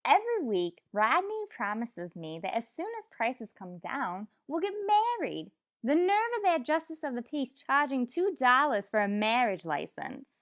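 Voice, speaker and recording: A woman's voice: a little high, sing-song, normal speed, fairly bright tone, no room sound. An actress playing a man-hungry, easily indignant single woman in a theatrical old-time radio comedy style. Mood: aggrieved.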